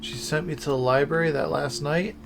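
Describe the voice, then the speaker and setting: A man's voice: on the high side, a little fast, gravelly. A man doing casual gameplay commentary as a streamer, musing aloud in short questions and repeating himself. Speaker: game streamer. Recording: game music behind the voice.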